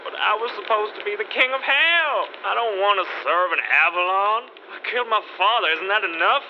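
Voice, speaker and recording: An actor playing a defiant, petulant young prince in a radio drama, talking in a sneering, taunting way. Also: male voice, high-pitched, animated, brisk, lo-fi sound, slight background noise. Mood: whining. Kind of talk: rhyming verse radio drama.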